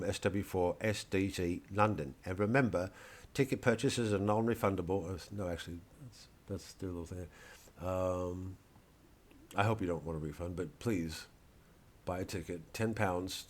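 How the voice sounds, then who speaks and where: A male comedian casually promoting a live show in a rambling, digressive way, with a wry, mock-earnest delivery. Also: low voice.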